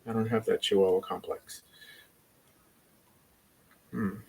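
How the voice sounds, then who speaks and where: A man's voice: lowish voice, steady tone, slow pace, creaky, slight background noise, rough recording. A man speaking casually as a rabbi and livestream host, rambling and opinionated in an informal way. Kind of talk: casual livestream chat and debate.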